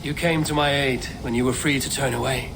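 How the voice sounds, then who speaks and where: An actor playing a steady, honorable Norse warrior leader in a game, measured and earnest. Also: male voice, medium pitch, gravelly, noisy background, lightly acted. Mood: calm. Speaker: Viking protagonist Eivor.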